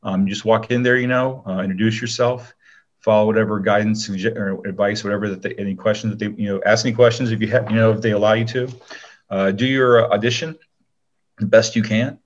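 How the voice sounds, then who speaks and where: A man's voice: fairly low pitch, steady tone, quick pace, muffled recording. A man speaking as an interview guest, giving casual advice in a rambling way full of "you know".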